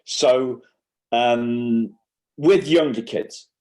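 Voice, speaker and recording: A man's voice: mid-range voice, extremely slow, darkish tone. A man in his fifties with a Yorkshire accent, a guest explaining his school workshops in a casual interview, full of restarts and fillers. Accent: Yorkshire English. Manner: explains in short bursts with many restarts and fillers. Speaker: guest, educational publisher and school workshop speaker. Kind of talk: conversational interview.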